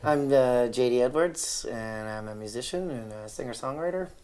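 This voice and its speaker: A man from Canada, a musician and singer-songwriter, answering interview questions in a halting, searching way. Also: mid-range voice, a little mellow. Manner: halting, full of fillers and restarts. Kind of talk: casual interview.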